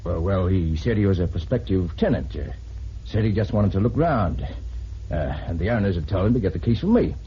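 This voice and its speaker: A man's voice: low-pitched, expressive, brisk, slightly rough, heavily acted. An actor playing an evasive, defensive male murder suspect in a vintage radio drama. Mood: nervous, evasive. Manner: hesitant, stammering, defensive. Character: evasive, nervous male murder suspect who later confesses. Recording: muffled, noisy vintage radio recording.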